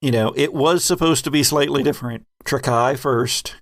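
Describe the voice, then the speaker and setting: A man's voice: mid-range voice, moderate pace. A man hosting as game master, reflecting casually in a group discussion, often stopping to rephrase himself in a thoughtful way. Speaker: game master and podcast host.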